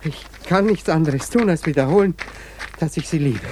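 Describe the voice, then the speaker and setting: An actor in a German radio drama playing a courtly, smug, insistent suitor with a formal, halting manner. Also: male voice, a little high, darkish tone, a little hiss or hum, lightly acted. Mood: pleading, insistent.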